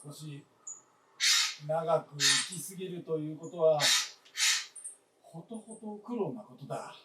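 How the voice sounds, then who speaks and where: An actor playing a stubborn, weary old father in a radio drama, speaking gruffly with resignation. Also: a little high, lively intonation, slow pace, distant mic, heavy background noise. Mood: weary, resigned. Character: stubborn, weary old father living alone, hard of hearing. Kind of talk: live radio drama acting. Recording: roomy stage recording from afar.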